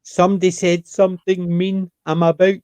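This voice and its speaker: A man's voice: high-pitched, flat delivery, moderate pace, a little mellow, clean tone, no room sound. A man, chatting and telling stories with quoted asides in a casual panel conversation.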